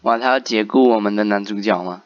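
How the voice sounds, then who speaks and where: A man's voice: on the low side, fast. Dull-sounding male game commentator, faint music. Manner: reactive, exclaiming. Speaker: gaming video commentator. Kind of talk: casual playthrough commentary, translating and narrating aloud.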